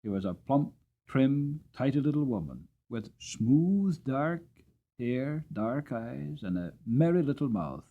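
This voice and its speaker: A man narrates a storybook with theatrical expression. His pitch swings widely from phrase to phrase, and the recording is a little dull and lo-fi. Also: big pitch swings, slow pace. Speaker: audiobook reader.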